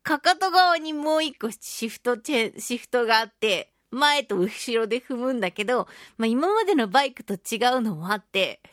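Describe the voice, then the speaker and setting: High-voiced playful woman radio host. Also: moderate pace, few pauses, no echo, clean studio sound. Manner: playful and animated, breaking into mock-grand phrasing and laughter. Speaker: radio show host and voice actress. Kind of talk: casual solo radio chat.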